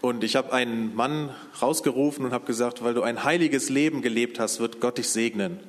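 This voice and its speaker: Man from Berlin, church interpreter, steady delivery, mid pitch, reverberant hall. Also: a little fast. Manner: steady, follows the speaker phrase by phrase. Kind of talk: live sermon interpretation.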